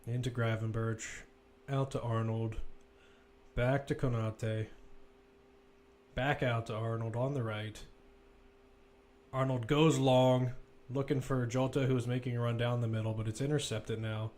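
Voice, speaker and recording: A man's voice: medium pitch, steady tone, unhurried, darkish tone. A man giving live football play-by-play commentary, describing the action as it unfolds in short, clipped phrases. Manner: running present-tense description in clipped phrases.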